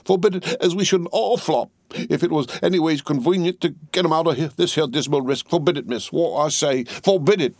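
A man voicing a solemn, trembling male servant, who holds forth slowly as if from a pulpit. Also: on the high side, darkish tone, no echo, clean studio sound, pitched above the performer's own voice. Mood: preaching. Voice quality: ungrammatical working-class speech. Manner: slow, pulpit-like holding forth.

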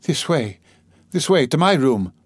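A man's voice: on the high side, animated, normal speed, dry recording, voice pushed up. A man voicing an anxious, tender young woman in an audiobook, crying out and imploring urgently. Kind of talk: dramatised audiobook character reading.

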